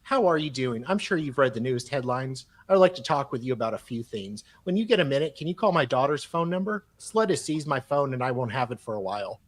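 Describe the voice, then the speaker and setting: A man's voice: medium pitch, animated, fast, hardly any pauses. A man co-hosting a live show, reading emails aloud as a dramatic reading with casual asides.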